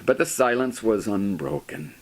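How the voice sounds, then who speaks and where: A man giving a theatrical, demented, melodramatic recitation of poetry in a gravelly, creaky voice. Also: on the low side, expressive, normal speed. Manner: demented, melodramatic, with wild swings in delivery.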